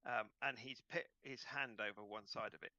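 A man's voice: on the low side, fairly even intonation, moderate pace, pushed and bright, no echo, dull audio. A man running an online tabletop game as game master, talking players through their turns.

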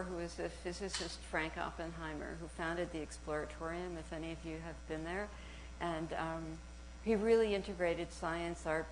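A soft-spoken woman with a slightly low voice gives a lecture in a university hall. She talks in an animated, searching way, sometimes reading aloud from a written text. A faint hum sits under the recording. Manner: animated and searching, with restarts and small laughs. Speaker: speaker giving a talk. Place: university auditorium.

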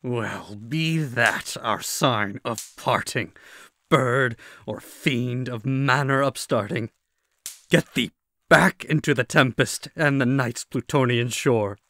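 A man's voice: medium pitch, pushed and bright, no echo. A man playing a grieving, tormented man who declaims verse theatrically in an audio drama. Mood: angry banishing. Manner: theatrical, slow, anguished declaiming. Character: grieving, tormented man pleading with and cursing a raven.

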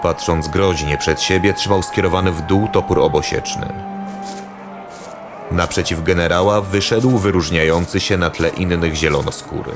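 Deep-voiced male fantasy narrator over loud music. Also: steady tone, moderate pace. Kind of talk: scripted fantasy audio drama narration.

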